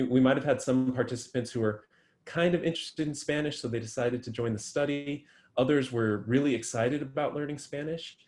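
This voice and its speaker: A man's voice: mid-range voice, a little mellow. A man answering interview questions about his research, thoughtful and full of ums and restarts. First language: English. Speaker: guest researcher.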